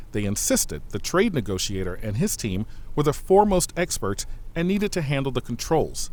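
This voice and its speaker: A man playing a loyal, modest, watchful aide and bodyguard in a science fiction audio drama, speaking in a measured, formal, earnest way. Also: medium pitch, lightly acted. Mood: matter-of-fact.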